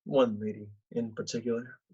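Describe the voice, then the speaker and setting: Man acting cocky young guy, slightly husky. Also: medium pitch, flat delivery, moderate pace, a little mellow, lo-fi sound. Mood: smug. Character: cocky, oblivious young male actor, proud ladies' man. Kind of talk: remote table read of a comedy web series script.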